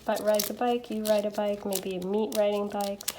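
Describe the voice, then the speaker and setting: A woman with a stuffy nose from allergies, chatting gently through a tarot reading with lots of fillers and little checks. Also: mid-range voice, flat delivery, moderate pace, noisy background. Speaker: tarot reader. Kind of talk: casual pick-a-card tarot reading.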